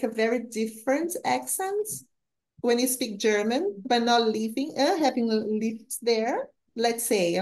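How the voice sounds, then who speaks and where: A woman hosts a conversation over a video call, asking loose, rambling questions. Her voice sits fairly high. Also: a little mellow. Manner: questioning, loose phrasing with fillers. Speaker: host, interviewer.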